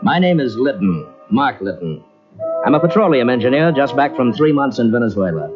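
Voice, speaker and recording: A man's voice: mid-range voice, big pitch swings, brisk, natural voice. An actor playing a young male engineer, newly married, narrating a murder mystery in 1940s radio style. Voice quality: urgent first-person narration swinging to shouted calls. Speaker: lead actor narrating his part. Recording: dull lo-fi old radio tape with music.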